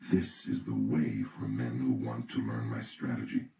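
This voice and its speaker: A man reading an audiobook from a script in a solemn, deliberate, instructional way. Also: very deep voice, steady tone, gravelly, dark tone, faint music behind. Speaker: audiobook reader. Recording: roomy, distant mic, faint noise.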